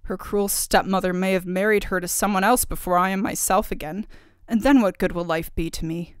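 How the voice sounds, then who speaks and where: A woman's voice: mid-range voice, steady tone, brisk, smooth, no echo, clean studio sound, close to an everyday voice. A woman voicing a proud, lovelorn young king in a fairy tale, stubborn and indignant. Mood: lamenting.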